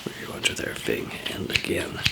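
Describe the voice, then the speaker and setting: A man doing a whispered ASMR roleplay as a calm apocalypse survivor, murmuring in a hesitant, halting way. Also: very noisy. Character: calm zombie apocalypse survivor handing out supplies.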